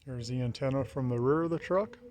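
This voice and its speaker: A man's voice: mid-range voice, a little fast, darkish tone. A man narrating a casual hands-on walkthrough of a vehicle installation, speaking in a plain, matter-of-fact way as he films. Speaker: vlogger showing a vehicle radio installation. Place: outdoors around and inside a parked truck.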